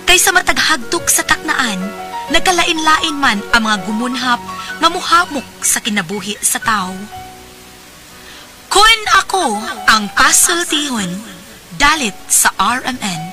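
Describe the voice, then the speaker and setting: Woman announcer, high-pitched, very expressive, declamatory, scripted drama intro, music behind. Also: measured pace. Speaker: radio programme announcer.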